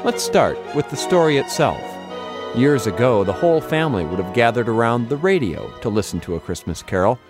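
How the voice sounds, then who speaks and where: A man's voice: mid-range voice, very animated, brisk, music in the background. A man hosting a radio program with scripted narration. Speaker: radio host.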